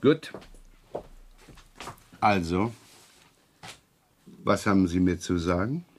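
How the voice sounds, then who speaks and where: A man's voice: deep voice, animated, takes it slowly, a little hiss or hum. Sardonic, wily male police inspector. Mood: feigned casualness. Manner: feigned absent-mindedness, dry sarcasm, probing. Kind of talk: 1970s German radio crime drama acting.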